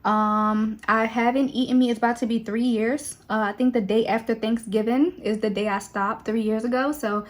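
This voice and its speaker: A young woman talks to her viewers in a loose, relaxed way. Her voice sits a little on the high side. She fills gaps with easy fillers as she chats while doing her makeup. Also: normal speed, hardly any pauses. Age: 20s. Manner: chatty and relaxed, with many fillers. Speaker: beauty vlogger.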